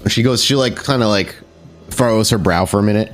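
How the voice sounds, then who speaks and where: A man with a lowish voice runs a tabletop roleplaying game as game master. His narration is improvised, halting and conversational, with music playing underneath.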